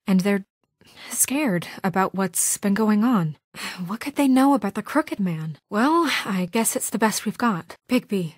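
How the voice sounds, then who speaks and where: An actress playing a stern, principled young woman leader in a video game, earnest, measured and formal, weary but composed. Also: medium pitch, dry recording, studio quality, lightly acted. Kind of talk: dramatic video game voice acting.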